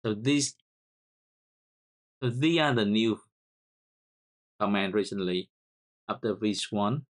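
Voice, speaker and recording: A man with a Vietnamese accent, whose first language is Vietnamese, hosts a screen-recorded coding tutorial, talking haltingly and repeating words while he types commands. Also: on the low side. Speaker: software tutorial host.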